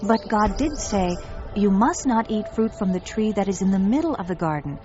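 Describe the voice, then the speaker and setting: Innocent woman of Eden female actor, earnest and careful, mid-pitched, slightly dull sound with faint music. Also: lively intonation, moderate pace, natural voice. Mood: earnest, cautious. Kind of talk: dramatized audio Bible acting.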